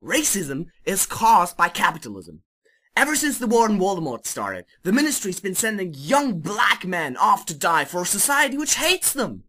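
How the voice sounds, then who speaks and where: A woman's voice: medium pitch, very animated, moderate pace, fairly bright tone, breathy edge. A woman playing a zealous, foul-mouthed teenage boy revolutionary in an amateur fan fiction reading, with an emphatic, ranting delivery. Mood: fervent, indignant.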